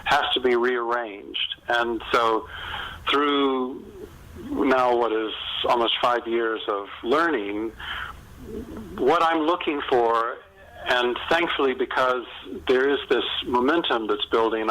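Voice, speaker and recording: A man with a bright, forward voice at a middling pitch answers interview questions as an author. He talks in a reflective, halting way, often restarting a thought before he finishes it. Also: low-quality recording. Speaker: novelist and screenwriter being interviewed.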